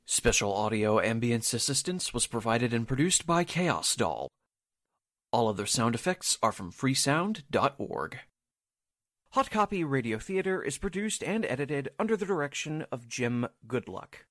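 A man's voice: mid-range voice, very animated, unhurried, a bit bright, no echo. A man announcing for a radio theater show, reading scripted closing credits close to the mic.

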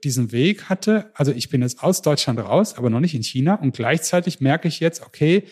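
A man's voice: a little high, fairly quick, breathy edge. German man telling his story eagerly. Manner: lively storytelling with frequent restarts. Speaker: interview guest. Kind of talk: casual podcast interview.

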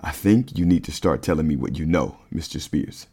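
A man playing a federal agent in his thirties for a crime audio drama. His voice is very low, and he speaks firmly, pressing for answers. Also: animated, moderate pace, vocal fry, dark tone, husky, heavily acted. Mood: suspicious, pressing. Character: firm, pressing mid-thirties male FBI agent.

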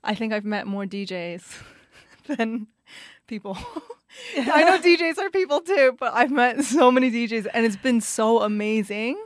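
A young woman raised in Canada hosting a casual interview, bubbly and quick to laugh. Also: a little high, lively intonation, no echo, clean studio sound. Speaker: interview host.

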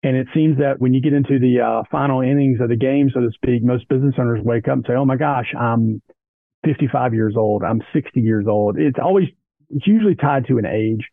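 Guy advisor explaining money stuff, steady, lots of "you know", tinny dry phone sound. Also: mid-range voice, gravelly, no room sound. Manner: measured, explanatory, frequent "you know" and "kind of". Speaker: business advisor guest. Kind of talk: explanatory interview talk.

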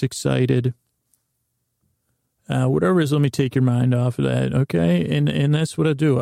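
A man hosting a bedtime podcast with a rambling, improvised monologue, soothing and halting, wandering off into tangents in a gravelly, creaky voice. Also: medium pitch, warm tone, no echo, studio quality. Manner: meandering, halting, repeats and trails off into tangents; soothing, lulling. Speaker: podcast host.

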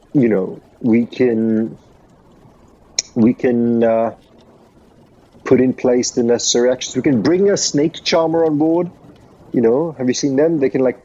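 A man from Sri Lanka with a mellow, husky voice, co-hosting a podcast. He argues earnestly, rambling through fillers and restarts. The sound is a little dull and lo-fi. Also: medium pitch. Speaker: podcast co-host. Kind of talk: casual debate.

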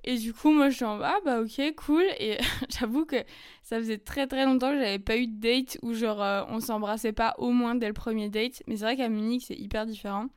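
A young woman telling a personal story in casual, chatty French, full of fillers and quick asides. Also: fairly high pitch, steady tone, clean tone, no echo, studio quality. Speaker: podcast host. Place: lives in Germany.

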